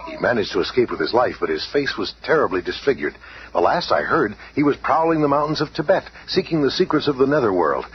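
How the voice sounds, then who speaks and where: An actor playing a serious, authoritative scientist hero who explains things in 1970s radio drama style. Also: male voice, medium pitch, big pitch swings, fast, close to an everyday voice. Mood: grave. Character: earnest, authoritative male scientist hero and leader. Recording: muffled, faintly noisy old radio recording.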